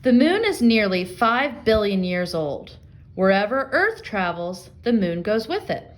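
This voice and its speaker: A woman with a mid-pitched voice gives a read-aloud lesson for young children. She speaks to them directly as their teacher, reasoning out loud and steering them with simple questions. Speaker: elementary school reading teacher.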